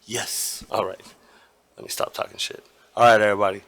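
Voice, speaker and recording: A hoarse man hosting a gaming channel, giving his opinion casually, rambling and restarting often and swearing now and then. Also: on the low side, steady tone, a little fast, a bit bright. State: hoarse, partly lost voice.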